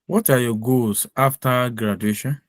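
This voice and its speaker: A man's voice: mid-range voice, unhurried, no room sound, clean studio sound. A man hosting a mock visa interview on a video call, asking brief, formal questions in a firm official tone.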